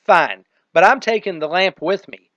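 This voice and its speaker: A man's voice: high voice, expressive, moderate pace, fairly bright tone, dry recording, muffled recording, pitched above the performer's own voice. A man voicing an eager, prickly police sidekick in a detective show parody. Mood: grudging, matter-of-fact.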